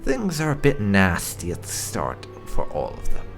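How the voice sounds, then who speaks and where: A man's voice: lowish voice, wildly swooping pitch, moderate pace, over music. A man giving laid-back, conversational roleplay commentary as the host of a gaming video. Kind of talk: casual roleplay game commentary.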